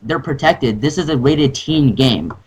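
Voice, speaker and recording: A man's voice: medium pitch, lively intonation, a little slow, noisy background. A young man on a phone call, arguing in an official customer-support manner, insistent and protesting. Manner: insistent, protesting, repeatedly saying sir. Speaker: prank caller posing as game support. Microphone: telephone or call software.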